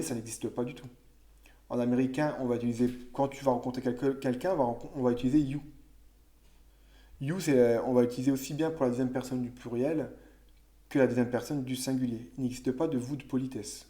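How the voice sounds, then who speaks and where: A man with a mellow, relaxed voice of ordinary pitch explains grammar to young pupils. He speaks casually, musing aloud, with small restarts and self-corrections. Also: fast. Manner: conversational, thinks aloud with fillers and self-corrections. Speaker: teacher. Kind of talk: explaining French grammar to children.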